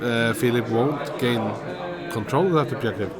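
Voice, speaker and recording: A man's voice: medium pitch, moderate pace, over music. A man giving casual, conversational live commentary on a tabletop wargame. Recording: loud crowd chatter behind the voice. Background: busy gaming event crowd.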